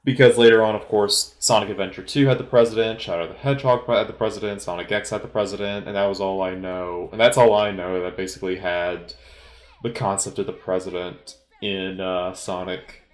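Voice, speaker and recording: A man giving an informal solo movie commentary in a halting, rambling, hesitant way. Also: low-pitched, steady tone, reverberant. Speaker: film commentator.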